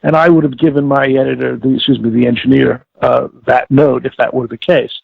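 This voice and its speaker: An older man with a heavily creaky, husky voice gives an interview over a narrow, phone-like connection. He explains things deliberately, stopping to correct himself in rather formal wording. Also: mid-range voice, level tone. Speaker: interviewee, former audio producer-director. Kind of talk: reminiscing interview, explaining a process. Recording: tinny phone-like line with room echo.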